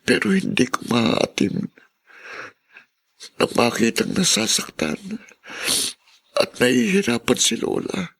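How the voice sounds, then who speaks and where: An actor playing an ailing, devoted young grandson in a podcast drama, speaking with halting emotion. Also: male voice, medium pitch, very animated, creaky, a bit bright, husky, heavily acted. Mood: resigned, anguished. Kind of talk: podcast radio drama acting.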